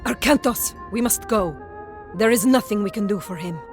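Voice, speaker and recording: A woman's voice: medium pitch, moderate pace, music in the background, lightly acted. A woman playing a cool, stoic warrior in a video game cutscene. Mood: solemn.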